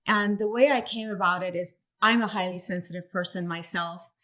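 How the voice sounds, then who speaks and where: A woman from Iran, a coach for parents of sensitive children, answering interview questions in a thoughtful way with many pauses and "um"s. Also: on the low side, bright, forceful tone.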